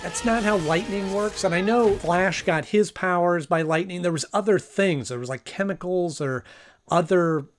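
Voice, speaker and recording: A man's voice: high voice, moderate pace, faint music behind. A man hosting a casual review, commenting in a conversational, thinking-aloud way with emphasis. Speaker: host, cartoon reviewer.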